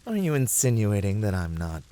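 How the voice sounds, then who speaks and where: A man playing a flirty, devoted incubus demon in an ASMR roleplay, teasing his master with effusive flattery. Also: fairly low pitch, moderate pace. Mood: playful, cocky.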